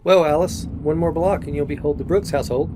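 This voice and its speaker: A man's voice: a little high, fairly quick, music in the background, natural voice, rough recording. A man acting in a radio play as a genial, hospitable married man with a hearty manner. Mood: cheerful. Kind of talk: old-time radio drama acting.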